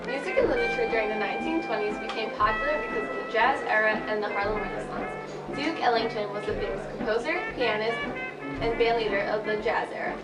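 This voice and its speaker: A woman's voice: a little high, quick pace. A young woman reading a scripted informational narration. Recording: echoey distant mic, loud music. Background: loud instrumental music.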